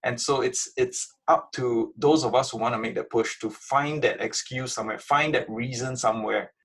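A man with a raspy, creaky voice answering interview questions in an informal, conversational way, often checking in with the listener. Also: medium pitch, steady tone, normal speed, a bit bright. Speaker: interview guest, museum innovation director.

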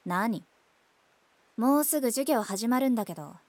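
A woman's voice: on the high side, animated, takes it slowly, no room sound. A woman playing an irritable, dismissive teenage schoolgirl in a voice drama, who speaks curtly and with annoyance. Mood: irritated. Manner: curt, annoyed. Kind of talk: voice drama acting.

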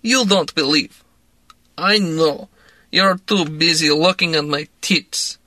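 A man reading an audiobook voices a drunk young Russian actress with a Russian accent, speaking slow broken English in a dramatic, moody way. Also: a little high, pushed and bright, dry recording. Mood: teasing. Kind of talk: audiobook character voice.

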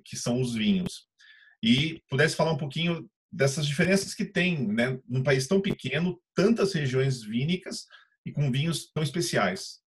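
A man interviewing a guest, asking questions in a measured, explanatory way. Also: mid-range voice, husky.

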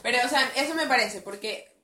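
A young woman with a Venezuelan accent chatting casually as co-host, chatty and quick to agree. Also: fairly high pitch, echoey room, crowd noise. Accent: Venezuelan Spanish. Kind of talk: casual chat.

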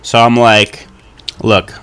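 A man's voice: lowish voice, level tone, takes it slowly, a bit bright, clear, resonant tone. A young man co-hosting a podcast while stuffed up with a cold, telling stories in a self-mocking, casual way. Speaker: podcast co-host.

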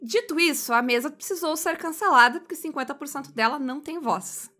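A woman's voice: sits high, brisk, bright, forceful tone, faint music behind. A Brazilian woman co-hosting a podcast in casual, bantering chat, telling stories in a playfully bossy way. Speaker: podcast co-host.

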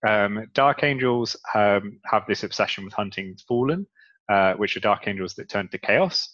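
A man's voice: on the low side, expressive, normal speed, fairly bright tone, highs cut off. A man co-hosting a casual podcast, talking with many ums as he explains game lore at length. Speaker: podcast co-host.

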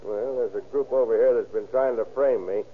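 Male actor as honest American boat captain, mid-pitched, measured, wary, vintage radio drama, tinny noisy recording. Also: steady tone, natural voice. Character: plain-spoken, wary but kindly male boat captain. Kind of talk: 1930s radio crime drama acting.

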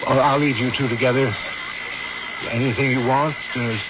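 A man's voice: mid-range voice, fairly even intonation, moderate pace, lightly acted. An actor playing a worried middle-aged father in an old radio drama, terse and plain-spoken. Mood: worried, courteous. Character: curt, worried father of an ailing daughter. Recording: dull, heavily noisy old radio recording.

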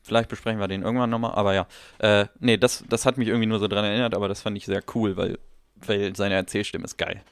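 Low-voiced man in dry, close chat. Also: quick pace, smooth, dry recording. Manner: relaxed, enthusiastic, colloquial. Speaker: podcast co-host. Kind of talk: casual film review chat.

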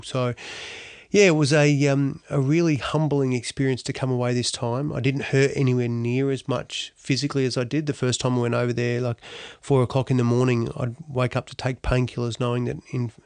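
A man's voice: medium pitch, little pitch movement, brisk, a little mellow, no room sound. Earnest Australian man, dry close mic. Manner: earnest, frequent restarts and mild swearing. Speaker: podcast guest. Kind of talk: candid interview storytelling.

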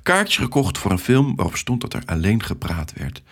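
A man's voice: fairly low pitch, sing-song, moderate pace, fairly bright tone. A man reading a scripted first-person story for children as its storyteller.

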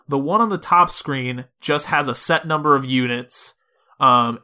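Bright, forceful man explaining games. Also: mid-range voice. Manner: explanatory, step by step. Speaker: podcast host. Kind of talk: casual video game discussion.

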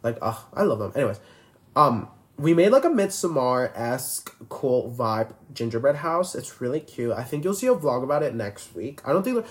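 A man's voice: mid-range voice, sing-song, normal speed. A twenty-year-old man hosting a casual solo podcast, rambling and filler-heavy, constantly restarting his sentences. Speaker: podcast host.

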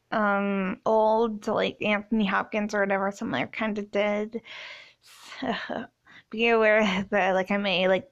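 A young woman from Canada talks loosely through a solo podcast intro, filling pauses with ums and likes. Her voice sits at an ordinary middle pitch for a woman. She keeps it casual and unscripted. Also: fairly bright tone. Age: 20s. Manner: rambling, many ums and likes. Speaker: podcast host.

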